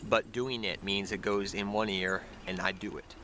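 A man's voice: fairly low pitch, fairly even intonation, normal speed, bright, forceful tone, a little hiss or hum. A young man with a cold that makes him sound nasal, chatting casually to camera outdoors in the snow about card game strategy, rambling and correcting himself. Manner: rambling, self-correcting, addresses viewers as guys. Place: outdoors in snowy woods. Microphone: built-in camera mic at close range. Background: passing cars and planes.

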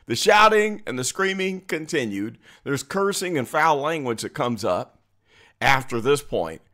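A man's voice: medium pitch, big pitch swings, measured pace, bright, forceful tone. A middle-aged American man giving casual, emphatic, exclamatory reaction commentary as a channel host.

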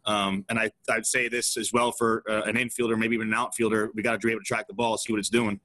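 A man in his thirties talks baseball on a video call from an office, rushing his points and restarting sentences. The sound is close and dry. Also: lowish voice, level tone, pushed and bright, no echo, rough recording. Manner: fast, emphatic, restarts often. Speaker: baseball coach. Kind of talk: video call panel discussion. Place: indoor office with ball caps on wall. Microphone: video call webcam.

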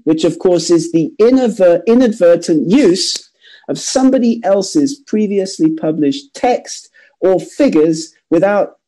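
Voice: medium pitch, dark tone, low-quality recording. A webinar presenter talking over slides in an emphatic, repetitive way, stressing points by saying words again. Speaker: webinar presenter for an editing service.

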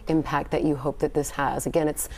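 A woman news anchor with a notably low voice speaks in an upbeat, emphatic studio manner. She is middle-aged and miked with a clip-on lapel mic in a TV studio. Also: little pitch movement, a little fast, a little mellow, breathy edge. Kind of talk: live studio interview.

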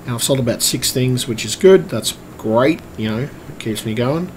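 A man with a croaky, strained voice, giving a casual, hesitant, rambling update like a vlogger. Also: mid-range voice, expressive, moderate pace, a little mellow. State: strained voice, throat-clearing. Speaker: vlogger and computer repairer. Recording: clearly noisy room recording.

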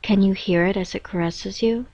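A woman reading a scripted guided meditation in a measured, instructing manner with pauses between phrases. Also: mid-range voice, fairly even intonation, darkish tone. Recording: dull, roomy echoing lo-fi recording.

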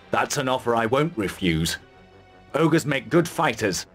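A man playing a gruff, confident mercenary commander, curt and dry, in a fantasy video game. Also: medium pitch, sing-song, slow, a bit bright, faint music behind, heavily acted. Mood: decisive.

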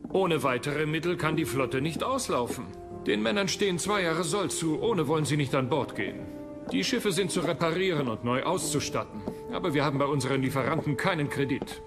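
Practical, worried English naval adviser, history docudrama: slightly high, earnest, clear music behind. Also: male voice, animated, a little fast. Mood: concerned. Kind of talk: dramatised history documentary dialogue.

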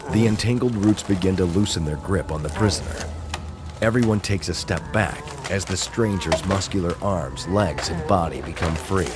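A man reading scripted dramatic narration as the narrator of an audio drama. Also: sits low.

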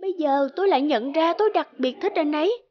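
Brazen, high-voiced young mistress. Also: female voice, lively intonation, quick pace, dry recording, highs cut off. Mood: smug. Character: brazen, defiant young mistress of a married man. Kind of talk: cartoon drama acting.

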